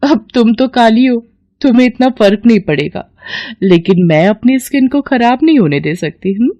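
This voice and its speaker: A woman's voice: fairly high pitch, expressive, moderate pace, dark tone, muffled recording, pitched above the performer's own voice, natural voice. A woman voicing a vain, spiteful young daughter-in-law in a melodramatic story, haughty, complaining and theatrical. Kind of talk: melodramatic Hindi storybook acting.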